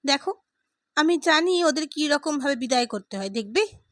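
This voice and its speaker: A woman's voice: high voice, expressive, moderate pace, a bit bright, no echo, studio quality. A woman voicing a playful, teasing, confident young man in an audio story.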